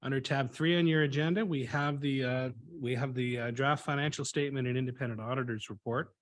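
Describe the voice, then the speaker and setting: Man, meeting chair, reads motions briskly, medium pitch. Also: steady tone. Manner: brisk, procedural. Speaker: board chair.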